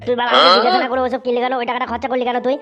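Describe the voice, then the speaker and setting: A woman's voice: on the high side, level tone, very fast, vocal fry, bright, forceful tone, soft background music. A woman voicing a bullying, foul-mouthed husband in a comedy cartoon, ranting and threatening with a West Bengal accent. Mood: furious, threatening. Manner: ranting, threatening, repeats himself. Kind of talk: amateur cartoon comedy squabbling.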